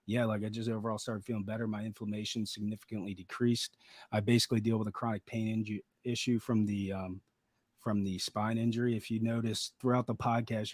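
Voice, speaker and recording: A man with a slightly raspy voice, a podcast guest rambling through a personal story in casual chat with lots of fillers. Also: on the low side, no echo. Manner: rambling, laid-back storytelling with many fillers.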